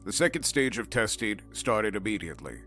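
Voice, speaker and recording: A man's voice: lowish voice, very animated, brisk, pushed and bright. Man narrating a clinical report, faint music.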